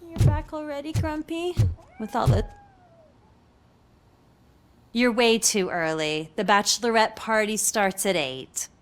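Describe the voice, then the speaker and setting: An actress playing a cheeky, flirty hotel receptionist in a video game. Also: female voice, on the high side, slow, pauses often. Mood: teasing. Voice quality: teasing, cheeky.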